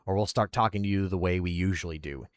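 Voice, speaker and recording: A man's voice: low-pitched, quick pace, clear, resonant tone, no room sound, studio quality, close to an everyday voice. A man voicing a burly, skeptical police detective in a blunt interrogating tone. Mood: demanding.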